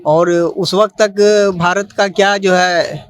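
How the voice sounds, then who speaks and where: A man's voice: high voice, fairly even intonation, slow, a bit bright, smooth, dry recording. A man giving his opinion in an impromptu interview, emphatic and repetitive, leaning on a filler phrase. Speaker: member of the public being interviewed.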